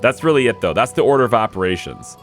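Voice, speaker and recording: A young man talks his way through a strategy game, thinking aloud and coaching his units as he goes. His voice sits low but swings widely in pitch, and it has gone a little hoarse. Faint background music plays beneath him. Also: sing-song, quick pace. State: losing his voice. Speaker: let's play host. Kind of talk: casual gameplay commentary.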